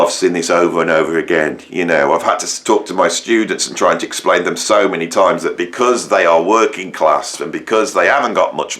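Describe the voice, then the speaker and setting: A middle-aged man from England with a croaky voice, giving an opinionated monologue to camera, rambling and emphatic with many fillers and restarts. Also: sits low, fairly bright tone. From England, near Birmingham. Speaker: musician and educator, channel host.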